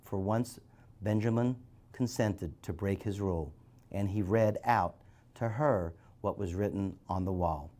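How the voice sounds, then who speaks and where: A man with a fairly low voice reads a novel aloud. He takes it in measured phrases, as a guest reader would, with the occasional small stumble. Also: warm tone.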